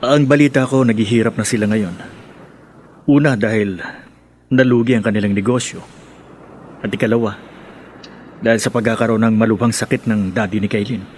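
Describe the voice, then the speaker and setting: A man acting as a courteous resort owner and confidant in a radio drama. His low voice is measured and tentative, gently probing with concern, over light background noise. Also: fairly even intonation, natural voice.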